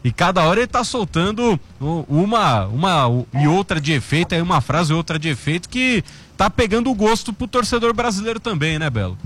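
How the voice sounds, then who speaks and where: A man's voice: high voice, very animated, a little fast, fairly bright tone. A man with a Brazilian accent hosting a sports talk show, chatty and often asking the others to agree. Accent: Brazilian Portuguese.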